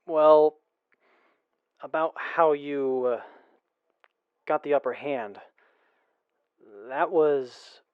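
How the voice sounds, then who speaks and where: A man's voice: on the high side, extremely slow, pauses often, clear, resonant tone, dry recording, phone-quality sound. A man playing a sincere, principled wasteland traveler in an audio drama, speaking a little hesitantly. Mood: concerned, hesitant.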